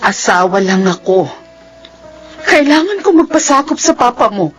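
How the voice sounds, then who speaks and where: A woman's voice: fairly high pitch, big pitch swings, normal speed, soft background music. A woman playing a submissive, resigned middle-aged mother in a Filipino radio drama, speaking in a placating way.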